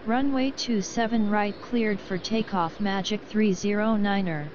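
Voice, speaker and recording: A computer-generated woman voicing a brisk airline pilot, reading clipped, formulaic calls through a radio filter. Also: medium pitch, fairly even intonation. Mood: brisk, procedural. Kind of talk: clipped air traffic radio readbacks. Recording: dull radio sound over noisy airport ambience.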